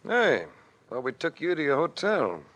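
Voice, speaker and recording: An actor playing a cool, wry adventurer investigator in old-time radio drama, dry and unflappable. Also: male voice, fairly high pitch, wildly swooping pitch, moderate pace, fairly bright tone, a little hiss or hum. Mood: probing.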